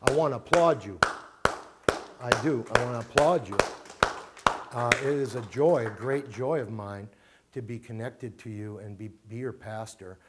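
A man's voice: mid-range voice, moderate pace, background noise. A middle-aged man, a pastor giving an informal family talk to his congregation in a small church, chatty, with fillers, restarts and emphatic repeats. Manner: conversational, many fillers and restarts, emphatic repetitions. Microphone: handheld mic, far camera.

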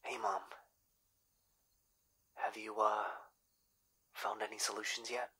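A caring, anxious young boyfriend, played by a man in an audio roleplay. His low voice is very breathy yet has a sharp, bright edge. He talks gently and hesitantly, often dropping into a whisper. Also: little pitch movement, slow pace, full character voice. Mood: worried, subdued.

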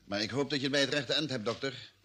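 An actor playing a wary male space crewman with a husky voice in a vintage Dutch radio play. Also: mid-range voice, fast, sharp tone. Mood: worried.

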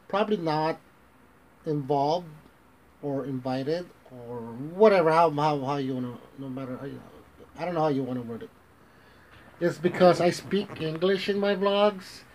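A man's voice: fairly high pitch, a little slow, long pauses, rough recording. American-accented man vlogging from his bedroom. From Philippines, raised in the United States. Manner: rambling, frequent restarts, mixes in Tagalog phrases. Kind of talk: casual talk to camera. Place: bedroom, small indoor room. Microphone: phone camera at arm's length.

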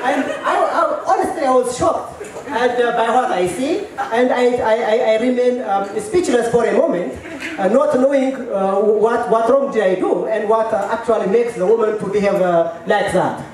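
A middle-aged Ethiopian man telling a personal story to an audience on a handheld mic, halting, with many fillers and repeated words. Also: very high voice, darkish tone. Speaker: storyteller at a work meeting. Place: large tent at an event. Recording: echoey tent, mic at a distance.

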